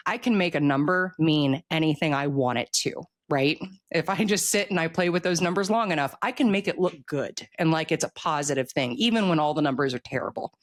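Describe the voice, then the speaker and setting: An American woman in her forties talking casually as an interview guest, telling stories in an animated way. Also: sits low, brisk, no echo, studio quality. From United States. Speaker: author guest, military veteran.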